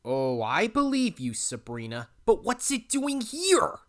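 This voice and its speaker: A woman's voice: mid-range voice, very animated, a little slow. A woman voicing an earnest, devoted young male raccoon adventurer in an audio drama. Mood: startled, urgent. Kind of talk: audio drama acting and narration.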